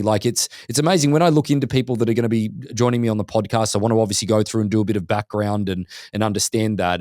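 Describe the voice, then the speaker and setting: A man hosting a podcast interview, eager and quick, often repeating words as he starts a sentence. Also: on the low side, darkish tone, no echo, studio quality. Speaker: podcast host.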